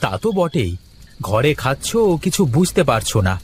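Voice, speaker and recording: A man's voice: mid-range voice, big pitch swings, normal speed, slight background noise, natural voice. A man voicing a worried, grumbling village husband in a cartoon, with a grave, admonishing manner. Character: worried, grumbling village husband lecturing his wife on thrift. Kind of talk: Bengali cartoon dubbing.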